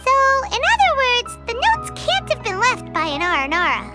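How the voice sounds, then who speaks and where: Squeaky, cheeky tiny fairy sidekick. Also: female voice, huge pitch swings, moderate pace, exaggerated voice. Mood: matter-of-fact. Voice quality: squeaky, childlike. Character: tiny cheeky childlike floating fairy companion, female. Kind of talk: anime-style game voice acting. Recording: music behind the voice.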